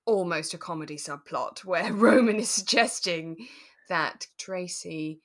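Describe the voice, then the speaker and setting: A woman co-hosting a podcast in relaxed, casual chat, talking in a chatty, thoughtful way with plenty of qualifying. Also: fairly low pitch, measured pace. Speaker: podcast co-host. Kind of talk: relaxed casual chat reviewing a radio drama.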